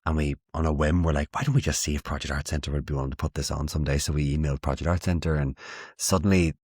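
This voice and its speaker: A man's voice: rumbling low, fairly even intonation, quick pace, darkish tone, slightly rough, no room sound, studio quality. A man in his late twenties with an Irish accent, chatting casually as an interview guest in a wry, self-deprecating way. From Ireland. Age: late 20s.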